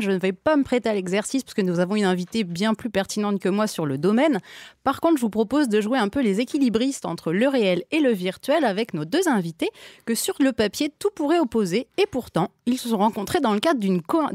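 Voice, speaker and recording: A woman hosting an interview segment, introducing guests in an articulate, measured way. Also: mid-range voice, a little mellow, dry recording, clean studio sound. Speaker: podcast interviewer.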